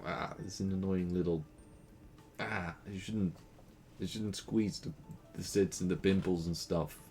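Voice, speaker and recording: A man's voice: low-pitched, steady tone, measured pace, darkish tone, soft background music. A young man from the Netherlands with a Dutch accent, chatting playfully and rambling while streaming a game, laughing often. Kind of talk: casual gaming stream chat.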